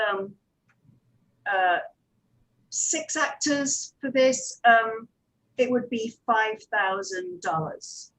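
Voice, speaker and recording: Woman explaining, fairly high voice. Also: a bit bright, poor audio. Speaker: audio drama producer and director, panelist. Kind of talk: explanatory panel talk on production practice.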